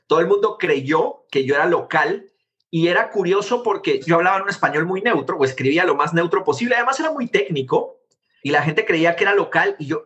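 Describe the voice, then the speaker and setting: A man from Guatemala in his thirties, an interview guest telling his story in a quick, animated conversational way. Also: a little high, lo-fi sound. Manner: animated, quick, jumps between anecdotes. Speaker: interview guest, tech founder.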